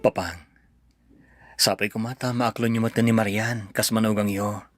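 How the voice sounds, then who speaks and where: A man's voice: fairly low pitch, flat delivery, moderate pace, no echo, clean studio sound, faint music behind, lightly acted. A man playing an earnest, pained son and devoted husband in a radio drama, pleading, with an Ilocano accent. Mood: pleading, reproachful.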